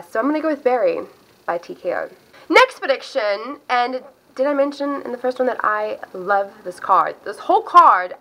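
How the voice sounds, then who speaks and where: A chatty, upbeat woman from South Africa whose accent drifts between South African and American. Her voice is bright and forward, and she talks casually to camera, often breaking off her own sentences. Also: medium pitch, low-quality recording. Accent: mixed South African and American. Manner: chatty, upbeat, self-interrupting. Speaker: MMA video host. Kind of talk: casual fight predictions to camera.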